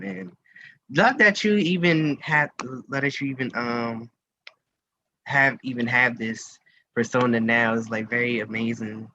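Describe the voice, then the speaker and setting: A bright-voiced man hosts a casual music interview. He speaks effusively, full of enthusiasm for his guest. The audio is somewhat dull and lo-fi, with faint noise underneath. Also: mid-range voice. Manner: gushing, effusive. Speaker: radio host interviewing a musician.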